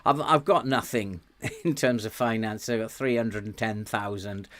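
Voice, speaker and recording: A man live-streaming a management game, thinking aloud with frequent ums and soft chuckles while greeting viewers; he has a sore throat and is losing his voice. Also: fairly low pitch, normal speed.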